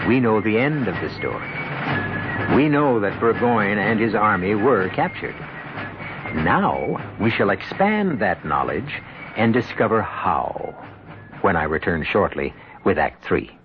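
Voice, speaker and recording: Deep-voiced solemn radio storyteller, music behind. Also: male voice, sing-song. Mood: solemn, portentous. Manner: portentous, deliberate. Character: solemn omniscient storyteller hosting a radio mystery play. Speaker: host and narrator. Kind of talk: dramatic scripted radio narration. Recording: dull old radio broadcast, music behind.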